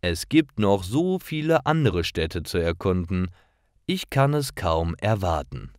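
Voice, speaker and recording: A man reading scripted stories for language learners in a clear, deliberate storytelling manner. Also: sits low, big pitch swings, dry recording, clean studio sound. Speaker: narrator of German learning stories.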